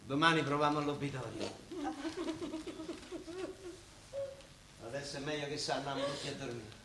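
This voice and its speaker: A man's voice: medium pitch, fast talker, lightly acted. A man acting in a Roman-dialect radio play as a weary, blunt working-class father. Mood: weary, downcast. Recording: roomy stage sound, faint noise.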